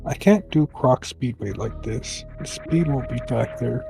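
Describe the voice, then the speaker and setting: A man playing a game and thinking aloud, muttering his plans in a halting way. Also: medium pitch, vocal fry, music in the background. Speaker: speedrunner.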